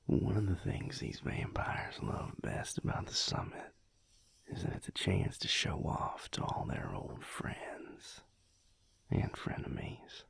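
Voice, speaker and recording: A man playing a surly but affectionate vampire cowboy lover in an intimate audio roleplay, with a Southern US accent and a slow, wry, breathy, gravelly murmur. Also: extremely low pitch, huge pitch swings, fairly bright tone, exaggerated voice. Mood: relaxed, sardonic. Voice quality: breathy, gravelly, half-whispered.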